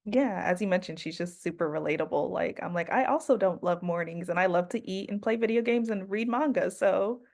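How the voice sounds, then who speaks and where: A woman hosts a podcast in an easygoing, chatty way. She laughs easily and keeps the talk relaxed with a mid-pitched voice. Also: fairly quick, gravelly, few pauses. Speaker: podcast host. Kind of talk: casual podcast interview.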